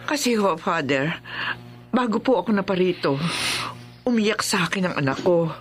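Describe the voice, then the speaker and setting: A woman's voice: lowish voice, animated, moderate pace, faint music behind, close to an everyday voice. An actress playing a worried, devout and protective older mother in a Filipino radio drama, earnest and emotional, with a Filipino accent. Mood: worried, respectful.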